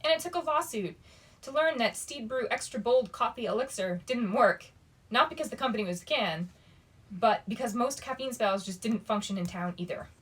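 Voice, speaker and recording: A woman reading a young adult fantasy novel aloud as a storyteller, with expressive delivery and emphatic asides. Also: fairly high pitch, normal speed, husky. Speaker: bookshop story reader.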